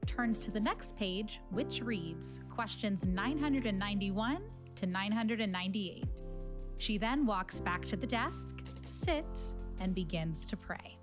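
A woman's voice: mid-range voice, expressive, takes it slowly. Female narrator, mid-range voice, scripted stage directions for audio drama, prominent music underneath.

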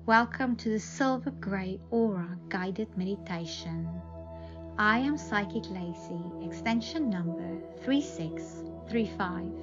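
A woman guides a meditation very slowly, with soothing, measured instructions. The recording is a little dull and lo-fi, with clear music playing behind her voice. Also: medium pitch. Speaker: psychic advisor, meditation guide. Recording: dull lo-fi audio under music. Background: prominent meditation music.

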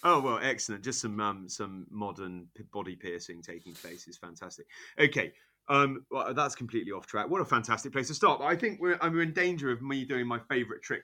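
A man hosting a podcast interview, chatty and enthusiastic, quick to praise his guest. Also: lowish voice, pushed and bright. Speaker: podcast host.

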